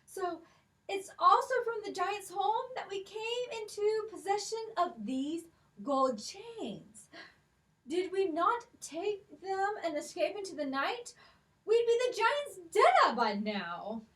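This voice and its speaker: A plucky, clever young girl in a children's puppet show, played by a woman, very high and bright, bouncing through her lines at an unhurried pace. The mic sits some distance away in the room. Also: very animated, full character voice. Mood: earnest. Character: brave, clever poor young girl Molly.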